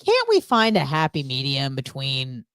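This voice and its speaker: A woman's voice: rumbling low, huge pitch swings, normal speed, fairly bright tone, clear, resonant tone, no echo, studio quality. A woman co-hosting a podcast in casual, unscripted chat, speaking bluntly and emphatically with crude humor. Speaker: podcast co-host.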